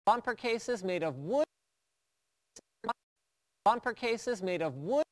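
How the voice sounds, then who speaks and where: A man's voice: high voice, expressive, takes it slowly, no echo. A man presenting products in a scripted narration style, as in a studio video.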